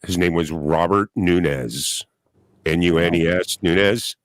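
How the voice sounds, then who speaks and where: A man chatting casually on a live panel, telling stories in a loose, profane way with drawn-out emphasis. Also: deep voice, no echo, clean studio sound.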